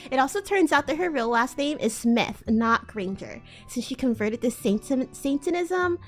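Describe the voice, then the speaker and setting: A woman's voice: sits high, expressive, normal speed. A woman streamer giving a theatrical, amused live dramatic reading of fan fiction. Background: faint music.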